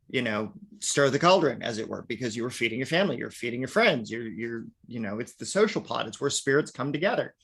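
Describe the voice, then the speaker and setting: A man's voice: mid-range voice, fairly bright tone. A man teaching an online workshop class in a chatty lecturing way, often adding "right?". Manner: chatty, peppered with "right?" and "now".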